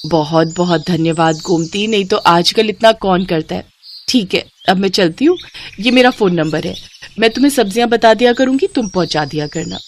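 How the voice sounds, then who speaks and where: A woman doing a polite, gentle young wife. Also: lowish voice, moderate pace, a little hiss or hum, close to an everyday voice.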